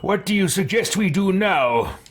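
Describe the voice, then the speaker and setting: A man's voice: high voice, animated, measured pace, slightly rough, voice pushed up, full character voice. Proud, sardonic hero a man roleplaying. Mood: haughty, challenging. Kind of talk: roleplayed game dialogue.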